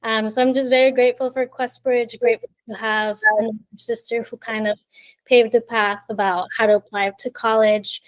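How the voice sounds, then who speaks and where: Female guest, late twenties, from Colombia, slightly high-pitched, warm and chatty. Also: level tone, moderate pace, low-quality recording. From Colombia, raised in Miami. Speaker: interview guest. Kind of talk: casual storytelling in an interview.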